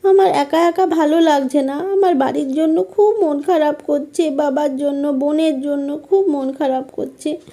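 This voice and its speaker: Young fairytale princess, performed by a woman: high, mellow, girlish, tender and openly emotional. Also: measured pace, few pauses. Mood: homesick. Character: tender, wide-eyed young exiled princess. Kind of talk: fairytale storytelling.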